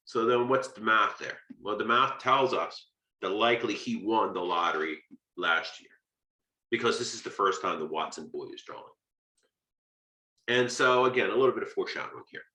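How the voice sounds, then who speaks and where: A man's voice: fairly low pitch, bright, forceful tone, echoey room, rough recording. An American man giving an informal literature lecture, conversational and emphatic, checking his points with short rhetorical questions. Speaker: literature lecturer and video host.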